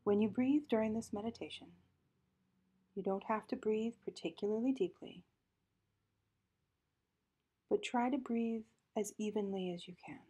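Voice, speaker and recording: A woman narrates a guided meditation at a gentle, measured pace, leaving space between phrases. Her voice is mellow and mid-pitched, heavily breathy and soft, often thinning to a near whisper as phrases end. The delivery stays calm and instructional.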